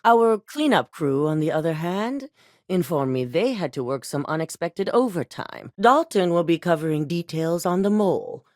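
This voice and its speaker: A woman's voice: low-pitched, no echo, studio quality. An actress voicing a cold, ruthless woman corporate executive who gives clipped, commanding orders in video game dialogue.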